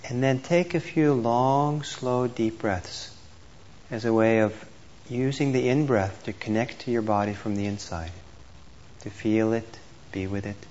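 A man teaching meditation, gently and unhurriedly guiding a group with pauses between instructions. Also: medium pitch. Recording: dull lo-fi audio with clear background noise.

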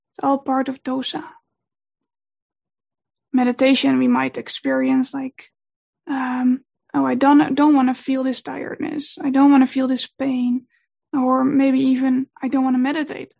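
A woman whose first language is Dutch gives a gentle, unhurried talk on meditation, pausing often with fillers. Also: high voice, vocal fry. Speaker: meditation teacher.

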